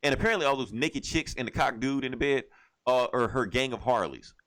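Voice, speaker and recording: A man's voice: mid-range voice, a little fast, a bit bright. A man co-hosting a podcast, casually retelling a story in a rambling, irreverent, slangy way with plenty of swearing. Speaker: podcast co-host. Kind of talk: casual recap of a comic book, profane banter.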